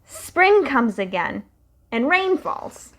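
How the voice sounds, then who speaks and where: Slow, lively woman reading to kids. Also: high-pitched. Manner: slow, lively, sing-song storytelling. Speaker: children's storytime reader. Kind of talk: reading a picture book aloud to children.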